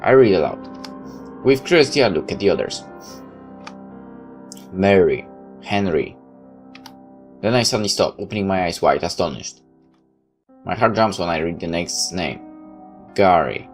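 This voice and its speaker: A man with a low voice reads a first-person story aloud in the manner of a casual gamer. His narration is loose enough for side comments of his own, and soft music plays behind him. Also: animated, a little slow, pauses often. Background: background music.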